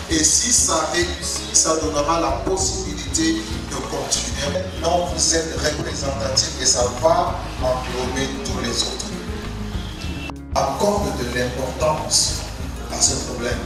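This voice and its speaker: A man from North Cameroon gives an earnest formal speech as a government representative, often repeating phrases and turning to address the mayor. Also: fairly high pitch. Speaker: government representative at a launch ceremony. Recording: echoey hall, distant mic, music behind.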